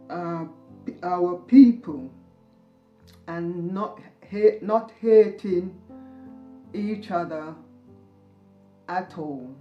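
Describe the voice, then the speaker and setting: A woman in her sixties telling her own story in an interview, slow and searching, pausing often. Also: on the low side. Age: 60s. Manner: slow, searching, with pauses and soft laughs. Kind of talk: reflective personal storytelling. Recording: roomy, slightly dull recording.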